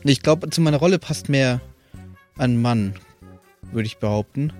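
A man's voice: medium pitch, moderate pace, a little mellow. A man chatting casually as a podcast co-host about making game characters. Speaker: podcast co-host and tabletop role-player. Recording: music playing behind. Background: background music.

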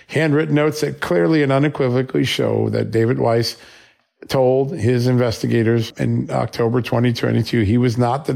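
A man's voice: medium pitch, gravelly, darkish tone. A man hosting a political news commentary show, sounding slightly scratchy from a flu and making his points emphatically with short punchy questions.

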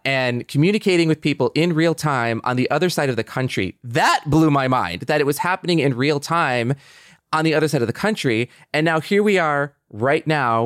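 A man's voice: medium pitch, no echo, clean studio sound. A middle-aged American man hosting a podcast in a conversational style. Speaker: podcast host. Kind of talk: casual podcast chat.

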